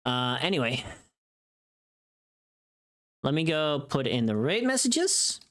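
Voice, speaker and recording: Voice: mid-range voice, big pitch swings, slow, fairly bright tone. A livestreamer with a tired voice, chatting casually in a rambling, informal and mildly sweary way, close to the microphone. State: tired voice from long recording.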